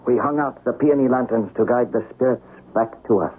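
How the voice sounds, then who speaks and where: A man's voice: medium pitch, a little fast, husky, lightly acted. An actor playing a passionate, lovelorn young samurai nobleman in a 1970s radio drama, formal and emotive. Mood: subdued. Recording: phone-like noisy vintage radio.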